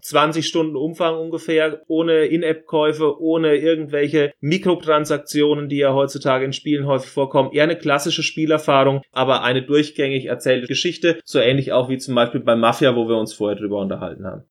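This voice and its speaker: A man's voice: on the high side, steady tone, a little fast, few pauses. A man interviewing someone in a casual, chatty and discursive way, improvising as he goes.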